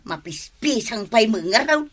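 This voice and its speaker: A woman's voice: on the high side, expressive, a little slow, a bit bright. A woman playing a money-minded village fortune teller in Ilocano radio comedy, bossy and exclamatory with a Filipino accent. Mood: brusque, haggling. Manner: exclamatory, haggling.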